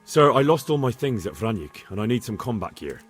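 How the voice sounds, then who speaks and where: A man acting an earnest, respectful young squire in a medieval video game, with a husky voice. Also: lowish voice, sing-song, a little fast, soft background music. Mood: urgent, reporting.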